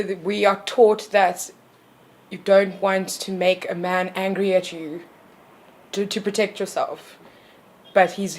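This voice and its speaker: Frank woman in her thirties. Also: on the low side. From South Africa. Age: 30s. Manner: frank, with a lot of ums and likes. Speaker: panel guest. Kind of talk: casual discussion.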